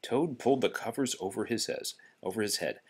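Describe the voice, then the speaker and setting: A man reading a children's story aloud to a child in an animated narrating style. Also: lowish voice, a little fast, husky. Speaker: storybook reader.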